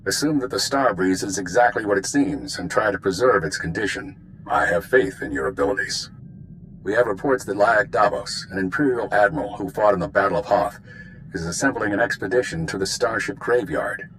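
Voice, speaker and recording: A calm, businesslike male spy handler in a sci-fi game. His low voice gives little away, over faint background noise. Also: fairly quick, poor audio. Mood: calm briefing. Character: calm, guarded male spy handler giving mission briefings. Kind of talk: video game voice acting.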